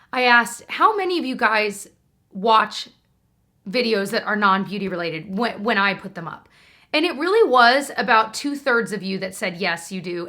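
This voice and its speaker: A woman's voice: on the high side, bright, forceful tone. A young American woman chatting casually and informally to her viewers as a beauty and lifestyle YouTuber.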